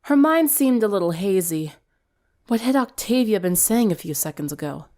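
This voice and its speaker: A woman narrating a story dramatically in an expressive, theatrical way. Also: mid-range voice, normal speed. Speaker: fan fiction reader.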